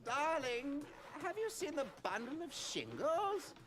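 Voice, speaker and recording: A woman voicing a kindly, flustered old father in a fantasy audio drama, halting, fussing and affectionate. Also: high voice, big pitch swings, exaggerated voice.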